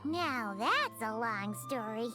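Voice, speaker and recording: A woman voicing a tiny, chirpy, childlike fairy companion in a video game, with a squeaky voice. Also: sing-song, slow pace, bright, forceful tone, soft background music, exaggerated voice. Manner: squeaky, refers to herself in third person.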